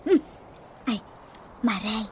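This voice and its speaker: High-pitched scheming radio-drama mother, Ilocano accent. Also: animated, slow, darkish tone, slight background noise. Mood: coaxing, gleeful. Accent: Filipino Ilocano. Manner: wheedling, teasing. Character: brash scheming mother sweet-talking her creditors. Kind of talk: comedy radio drama acting.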